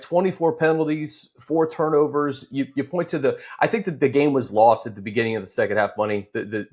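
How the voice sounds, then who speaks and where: A man hosting a casual sports discussion, conversational as he prompts his colleagues. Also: medium pitch. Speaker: sports show host.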